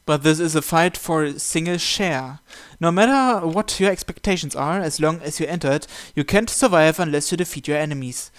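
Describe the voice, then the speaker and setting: A man's voice: a little high, normal speed, hardly any pauses, clean tone. A man hosting a visual novel playthrough, reading the first-person narration aloud.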